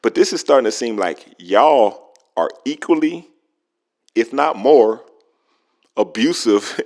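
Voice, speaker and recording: Emphatic male commentator with a swooping voice. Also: medium pitch. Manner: emphatic, rhetorical questions, drawn-out words for effect. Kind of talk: opinionated gossip commentary to camera.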